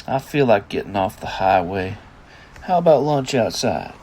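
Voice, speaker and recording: A man's voice: fairly low pitch, lively intonation, normal speed, darkish tone, a little hiss or hum. A man acting the part of a weary, teasing father of a teenage daughter in an audio drama. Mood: wry, easygoing.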